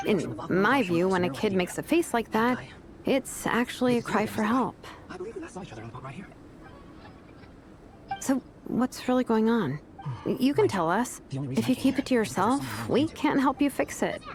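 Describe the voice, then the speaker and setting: A woman voicing a perceptive, caring big-sister figure in a video game, speaking frankly in a measured, reassuring way. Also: on the high side, expressive, a crowd in the background. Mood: probing, caring. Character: perceptive, self-assured, caring cool big-sister type.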